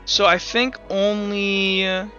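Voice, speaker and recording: A man's voice: high-pitched, little pitch movement, slow pace, bright, forceful tone. A young man narrating as game master in a rambling casual way, full of filler words. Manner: rambling, lots of "like" and "kinda". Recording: dry muffled mic with faint music.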